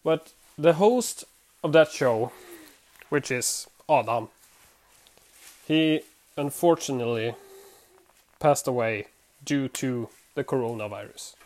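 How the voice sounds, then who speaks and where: Slow, halting Swedish-accented podcaster. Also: medium pitch, darkish tone. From Sweden. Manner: halting, searches for English words, chuckles. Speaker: podcast host. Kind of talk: casual podcast monologue.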